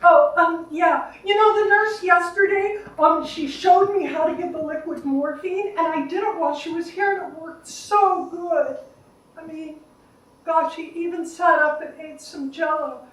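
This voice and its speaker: A woman's voice: extremely high pitch, unhurried. A woman voicing an anxious, hesitant female caregiver who gushes and stumbles through her words in a live story. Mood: nervous, hopeful. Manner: hesitant, gushing, filler-laden. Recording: echoey room, distant mic.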